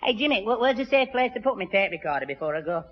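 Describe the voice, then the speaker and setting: A man's voice: high voice, animated, fast talker. An actor playing a daft, earnest young boyfriend with a Northern English accent, muddling his explanations in a 1960s radio sitcom. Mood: muddled. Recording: muffled echoey old radio recording.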